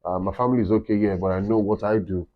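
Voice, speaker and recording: A Nigerian man with a deep voice, a campus radio director chatting in an interview and telling stories with many "you know"s. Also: fairly even intonation, quick pace. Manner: rambling storytelling, frequent "you know". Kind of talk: casual interview chat. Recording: dull lo-fi sound.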